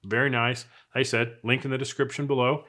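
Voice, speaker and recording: A man's voice: mid-range voice, flat delivery, fairly quick, pushed and bright. An American man speaking conversationally, giving casual advice and opinions as a product reviewer talking to viewers. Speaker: product reviewer and off-road video host. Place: indoors in a house.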